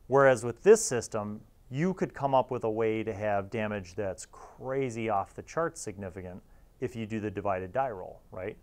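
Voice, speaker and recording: Low-pitched man, casual and blunt. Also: darkish tone. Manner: conversational, blunt, often ends phrases with "right?". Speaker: host. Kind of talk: casual opinionated review monologue. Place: small dark indoor studio. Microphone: lapel mic.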